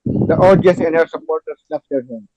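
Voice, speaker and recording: A man's voice: a little high, a little fast, warm tone, husky, poor audio. A man reading stage directions as narrator in an amateur table read of a play.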